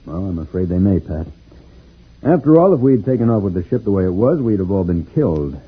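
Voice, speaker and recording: An actor playing a calm, protective space pilot from another planet, reassuring and explanatory in a 1950s radio serial style. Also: male voice, low-pitched, quick pace, breathy edge, exaggerated voice. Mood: grave, confiding. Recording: tinny, noisy old radio recording.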